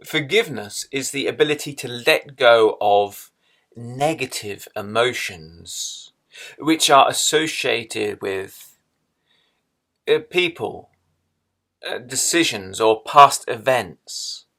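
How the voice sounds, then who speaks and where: A man leading a guided meditation in a measured way, leaving long pauses between phrases. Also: mid-range voice, expressive, bright, forceful tone.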